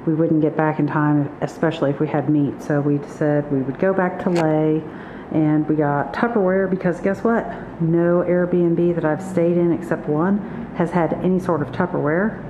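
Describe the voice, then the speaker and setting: A middle-aged woman narrating a vlog in a casual, plain-spoken way, listing things in a kitchen. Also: deep voice. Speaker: vlogger. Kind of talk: casual grocery haul narration. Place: hard-surfaced kitchen indoors. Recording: echoey, muffled, noisy room recording.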